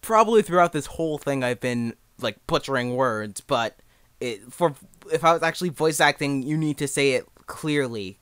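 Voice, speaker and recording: A young man giving a casual, unscripted tutorial in a rambling, halting, self-deprecating way. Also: mid-range voice, expressive, a bit bright, clean tone, no echo. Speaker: amateur voice actor giving advice.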